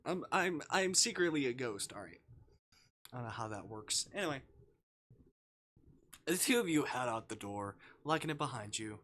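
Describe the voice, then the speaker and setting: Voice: mid-range voice, bright, forceful tone, a little hiss or hum. A streamer chatting casually and reading a visual novel aloud, rambling, jokey and easily sidetracked.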